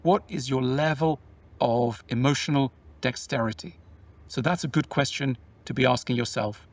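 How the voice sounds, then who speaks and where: A man talking in a measured, explanatory way about relationships, often posing questions to the listener. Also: medium pitch, distant mic. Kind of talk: reflective conversational talk.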